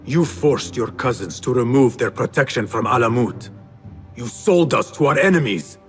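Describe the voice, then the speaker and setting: An actor playing an earnest, bold young male assassin in a video game, speaking formally and with measured words. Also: mid-range voice, animated, natural voice. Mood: accusing, intense. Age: young adult. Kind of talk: cinematic video game acting. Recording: music behind the voice. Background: music.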